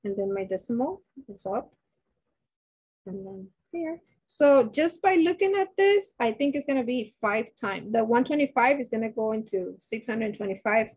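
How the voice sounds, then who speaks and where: A woman's voice: a little high, animated, normal speed, a little mellow, husky. A woman nursing instructor working through a dosage problem in a live online lecture, restarting sentences and asking her class questions. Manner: thinks aloud with many restarts, asks class questions. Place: indoors, webcam beside shared slides.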